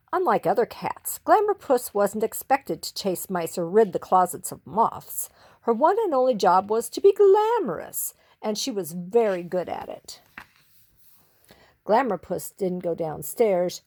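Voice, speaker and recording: Animated woman storyteller. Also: mid-range voice. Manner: theatrical, with drawn-out emphasis on key words. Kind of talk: expressive children's story narration.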